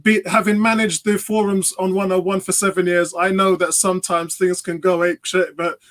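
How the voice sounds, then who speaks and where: Guy, pretty high voice, football podcaster chatting as a guest on a fan show. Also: little pitch movement, moderate pace, fairly bright tone. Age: middle-aged. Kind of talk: casual chat with shout-outs.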